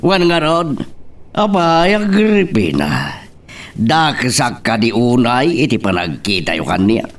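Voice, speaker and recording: A man's voice: medium pitch, sing-song, takes it slowly. A man with an Ilocano accent playing an aggrieved, domineering rich don in a radio drama.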